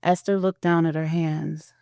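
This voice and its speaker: A woman's voice: low voice, flat delivery, a little slow, creaky, mellow, clear, resonant tone, no echo, clean studio sound. A woman narrating a scripted audio drama as storyteller.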